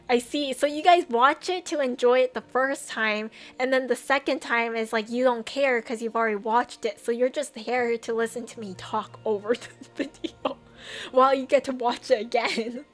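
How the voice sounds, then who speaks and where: A woman streamer chatting casually with viewers, rambling, trailing off and laughing often, her voice a little tired. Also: fairly high pitch. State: voice getting tired. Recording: faint music behind.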